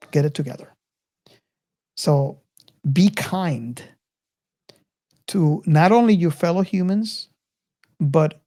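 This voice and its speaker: Mellow, soft-edged man hosting casually. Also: on the high side. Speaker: podcast host leading segments. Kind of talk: casual film discussion.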